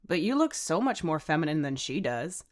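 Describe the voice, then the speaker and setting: A woman's voice: low-pitched, sing-song, normal speed. Brief: female narrator as teasing teen lad, offhand, cheeky, slightly defensive, story dialogue. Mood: puzzled. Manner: casual, teasing. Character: cheeky, teasing teenage boy.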